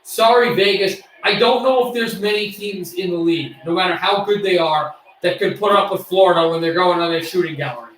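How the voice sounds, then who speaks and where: Man, high bright voice, excitable hobby play-by-play, voice fraying, roomy off-mic sound. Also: fairly quick, few pauses, recorded from a distance, slight background noise. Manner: excitable, bursts into shouts on shots. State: losing his voice. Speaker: play-by-play streamer. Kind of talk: amateur play-by-play commentary.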